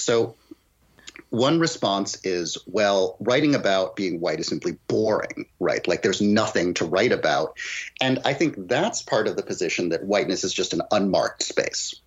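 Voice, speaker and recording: Deep-voiced, slightly husky man thinking aloud. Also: dull audio. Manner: analytical, thinking aloud, poses rhetorical questions. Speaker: guest poet and critic. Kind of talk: literary discussion on a podcast.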